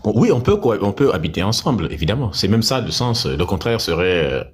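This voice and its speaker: A man with a husky voice chatting casually, pressing with insistent questions and trailing off mid-thought. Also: on the low side, sing-song, fairly quick. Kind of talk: casual chat.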